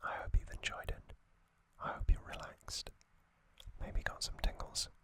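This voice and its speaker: A man hosting ASMR, narrating gently in a breathy, unvoiced whisper with frequent pauses. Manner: slow, gentle, pausing delivery. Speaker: ASMR channel host.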